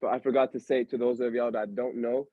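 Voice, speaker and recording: A man's voice: mid-range voice, level tone, fairly quick, no room sound, phone-quality sound. A young man from Pennsylvania hosting a podcast in a casual, rambling, slangy way with lots of fillers. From Allentown, Pennsylvania. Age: young adult. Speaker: podcast host. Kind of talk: casual chat.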